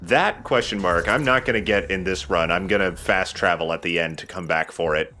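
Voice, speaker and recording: A man's voice: deep voice, a little fast, sharp tone, slight background noise. A man hosting a let's play, joking and swearing in casual banter as he comments on his game. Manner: joking, profane.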